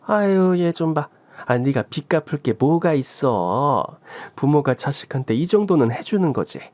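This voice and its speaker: A man's voice: fairly high pitch, very animated, normal speed, a little mellow, no room sound. A man voicing an affectionate, bossy elderly mother-in-law who scolds fondly, in a radio story. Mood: fond scolding. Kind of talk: Korean radio story acting.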